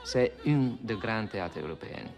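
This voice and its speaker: Male interviewee, Italian accent, lowish voice, halting tour-guide explanation, faint music underneath. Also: animated, darkish tone. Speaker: opera house representative, interviewee.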